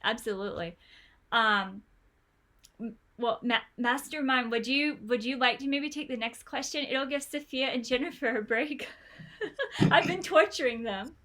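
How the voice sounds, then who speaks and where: A woman's voice: high-pitched, a little slow, sharp tone. A woman coaching an online study group, talking in a chatty, encouraging way full of fillers and restarts over a video call. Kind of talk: online tutoring session.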